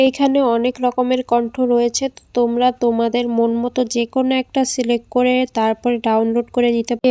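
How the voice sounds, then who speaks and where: High, even-toned woman giving a tutorial. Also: clear, resonant tone. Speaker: tech tutorial host. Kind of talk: casual step-by-step screen tutorial narration.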